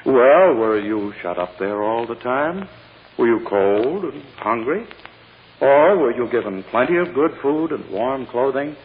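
An actor playing a pompous, impatient prosecutor questioning a child in a condescending, formal way in a 1940s radio drama. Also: male voice, medium pitch, expressive, measured pace. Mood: patronizing. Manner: coaxing, condescending, formal courtroom address. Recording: old radio recording, muffled, long hall reverb.

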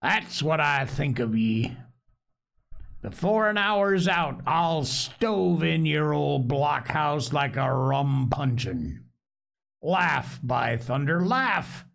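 A man's voice: fairly high pitch, expressive, slow pace, creaky, fairly bright tone, heavily acted. A man voicing a furious one-legged pirate who roars threats. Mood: roaring, furious. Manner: roaring, threatening.

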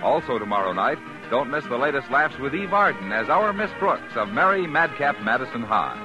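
A man's voice: mid-range voice, big pitch swings, moderate pace, muffled recording. An American man reading scripted credits and promos as a 1950s radio announcer. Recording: vintage radio broadcast, music behind.

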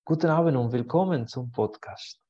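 Mellow-voiced male podcast host. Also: mid-range voice, normal speed. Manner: rephrasing questions mid-sentence. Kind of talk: hosting a podcast interview. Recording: dull, lo-fi sound.

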